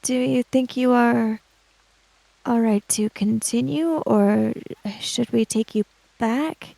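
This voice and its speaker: A woman plays a polite young woman investigator in a tabletop game. She speaks slowly and formally, with hesitant pauses and a slightly creaky edge, recorded close and dry. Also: a little high, dark tone, dry recording, poor audio. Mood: tentative, concerned. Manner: halting, formal, careful phrasing.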